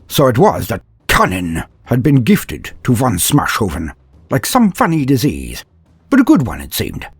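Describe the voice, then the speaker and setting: A man tells a comedic war tale in a grand, mock-heroic style, slipping in dry asides. His voice is slightly husky and swings widely in pitch. The sound is dry and close, with soft music underneath. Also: no room sound. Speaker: storyteller. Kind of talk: comedic mock-epic story narration.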